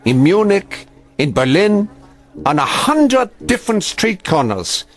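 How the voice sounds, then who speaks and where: A cutting, scornful old man in a vintage radio play. He speaks slowly with a wide, rising and falling pitch and a mocking, bitter edge, soft music under him. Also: big pitch swings, heavily acted. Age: older.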